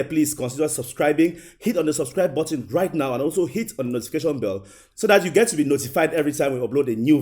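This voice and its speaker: A man's voice: mid-range voice, mellow. A man hosting a studio interview show, talking emphatically with repeated phrases and "you know" asides. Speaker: talk show host and interviewer. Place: indoor studio.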